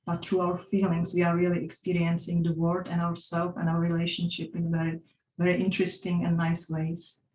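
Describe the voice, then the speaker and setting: Czech-accented female presenter, halting, monotone delivery, faint room hum behind. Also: fairly low pitch, reverberant, rough recording. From Czech Republic. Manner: hesitant, frequent restarts and fillers. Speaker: workshop presenter. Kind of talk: presenting a talk with slides.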